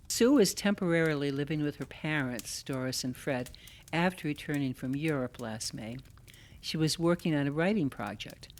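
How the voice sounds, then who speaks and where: A woman giving measured, scripted literary narration for an audio play. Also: low-pitched, animated, darkish tone, slight background noise.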